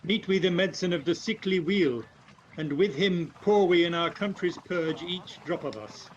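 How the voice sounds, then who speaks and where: A man's voice: sits high, normal speed, close to an everyday voice. An amateur actor playing a resolute nobleman in a Shakespearean radio play, with declamatory delivery. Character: resolute Scottish noble marching against a tyrant. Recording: muffled, noisy video-call audio.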